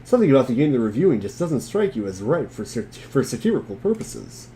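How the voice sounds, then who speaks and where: A man's voice: medium pitch, very animated, fast talker, mellow, slight background noise. A man narrating an amateur group dramatic reading in a jokey, theatrical way. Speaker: narrator and reader.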